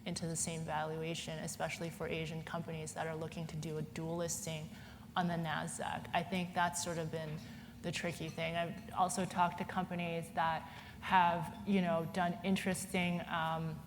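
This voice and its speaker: A woman from Hong Kong talks on a conference panel in a chatty way, with many "you know"s and restarts. Her voice sits fairly low and stays flat. The sound carries a long hall reverb over a faint hiss. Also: normal speed.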